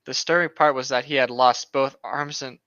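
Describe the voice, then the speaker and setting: A man's voice: mid-range voice, fairly even intonation, brisk, pushed and bright, no room sound, highs cut off. A man co-hosting, reading a story aloud with casual, sweary commentary and stopping to correct himself. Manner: sweary, self-correcting reader. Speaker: co-host.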